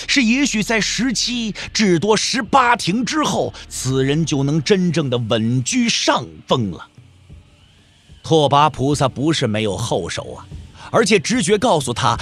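A man's voice: fairly high pitch, a bit bright. A man narrating a martial arts novel as a scripted audio drama, with an emphatic, suspenseful storytelling delivery. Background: background music.

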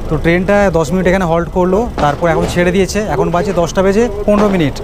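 A man's voice: sits high, fairly even intonation, very fast. A young man, a travel vlogger, chatting casually as he films himself on a night train journey and points out what he sees. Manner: chatty, describing things as he films them. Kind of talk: casual travel vlog narration. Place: inside and beside a moving sleeper train at night.

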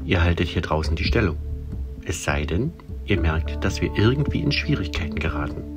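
A calm male ghost leader in an audio drama, acted by a man, measured and commanding. The voice sits low, with music underneath. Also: highs cut off. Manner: measured, reassuring. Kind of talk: German audio drama acting.

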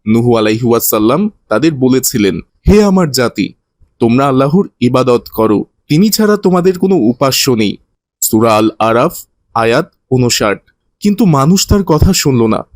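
A man's voice: medium pitch, very animated, normal speed, no echo, natural voice. A man playing a kindly, didactic teacher who tells moral religious stories to children in a cartoon. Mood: earnest, instructive. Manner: didactic, earnest.

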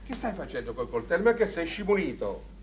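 An actor playing an exasperated, bossy but caring friend, scolding and coaxing in colloquial in a comedy film. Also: male voice, fairly high pitch, very animated, brisk, a little hiss or hum. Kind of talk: Italian film comedy acting.

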